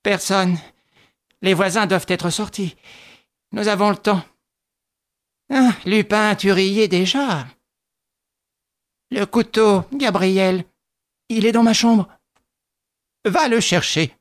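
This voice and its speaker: A man reading an audiobook, voicing a furious, half-mad middle-aged widow bent on revenge, with a husky voice. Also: slow pace, pauses often. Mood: vengeful. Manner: shrill, frantic, vengeful.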